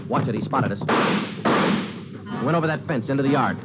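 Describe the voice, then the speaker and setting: An actor playing a hardboiled police sergeant in a clipped, matter-of-fact 1950s radio drama style. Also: male voice, mid-range voice, moderate pace, very noisy, close to an everyday voice. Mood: urgent, businesslike. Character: terse hardboiled male police sergeant detective. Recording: muffled vintage radio recording, loud music.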